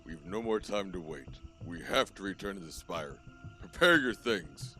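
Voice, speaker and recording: A man playing a stern, commanding dark general in a fantasy audio drama, formal and coldly controlled. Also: deep voice, animated, normal speed, faint music behind.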